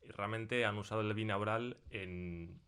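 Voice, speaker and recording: Lowish-voiced Spanish man, thoughtful and halting. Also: fairly even intonation, fairly bright tone, clean tone. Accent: Spain. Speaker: sound designer being interviewed. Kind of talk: conversational technical explanation.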